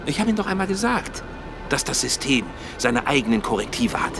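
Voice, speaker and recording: A man's voice: mid-range voice, sing-song, brisk, creaky, background noise. An actor playing an agitated male insider in a science-fiction radio drama, speaking formally, theatrically and insistently. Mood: intense, fervent. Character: agitated male insider warning of a murderous system.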